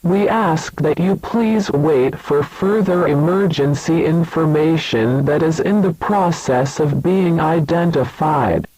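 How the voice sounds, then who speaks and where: Guy reading an emergency alert, real slow and formal, very dry sound. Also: a little high, a little mellow, slightly rough, dry recording, rough recording. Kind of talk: scripted emergency broadcast announcement.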